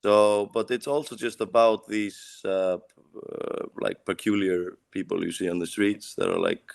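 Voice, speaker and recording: A man's voice: on the low side, lively intonation, measured pace, gravelly. A young man with an Icelandic accent, a band singer answering interview questions in casual English that is not his first language. He searches for words and leans on "like" as he talks. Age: young adult.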